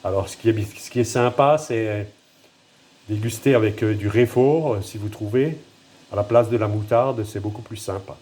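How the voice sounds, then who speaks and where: A man's voice: on the low side, normal speed, darkish tone. A man with a noticeable regional French accent walking through a home recipe, filming on a handheld phone in his kitchen and talking in a hesitant, self-deprecating way. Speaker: home cook. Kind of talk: casual cooking demonstration.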